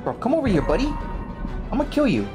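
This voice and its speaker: A woman's voice: a little high, wildly swooping pitch, quick pace. A woman giving casual, slangy, reactive commentary as she plays a video game. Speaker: let's play streamer. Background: game music.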